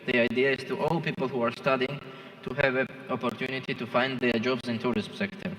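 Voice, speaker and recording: Non-native english guy on a conference panel, kinda halting, big echoey hall. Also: mid-range voice, little pitch movement, a bit bright. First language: not English. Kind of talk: impromptu panel remarks. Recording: echoing hall with long reverb.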